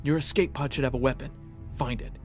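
Stranded starship officer, male, played by an actor: guarded, practical, dry delivery, medium pitch, sci-fi audio drama. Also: steady tone, fast talker, darkish tone, faint music behind, lightly acted. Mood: commanding, urgent. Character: wary, pragmatic male military officer stranded aboard a hostile spaceship. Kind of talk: science fiction audio drama acting.

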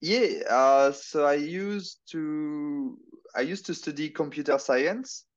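A man's voice: on the high side, takes it slowly. Man on a dull lo-fi line. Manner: hesitant, searching for words. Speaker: podcast guest, marketing consultant. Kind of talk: casual interview chat.

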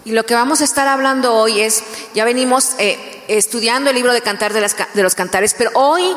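A woman's voice: fairly high pitch, brisk, a bit bright. A woman from Mexico with a Mexican accent, sharing personal stories in a chatty sermon. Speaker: pastor's wife. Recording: echoey hall with long reverb.

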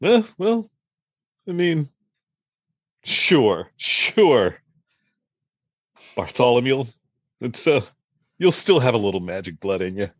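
A man plays a mocking wizard official with a husky voice that swings widely up and down. He takes his time, teasing in slow, drawn-out phrases. It is an improvised comedy sketch. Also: mid-range voice, very animated, lo-fi sound. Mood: mocking, amused.

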